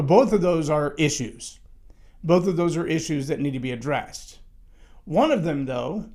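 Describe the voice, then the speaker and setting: A man with a loud, somewhat high-pitched voice holds forth on leadership in a solo talk. He speaks emphatically and conversationally, doubling back on words as he thinks. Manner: conversational, emphatic, with frequent restarts.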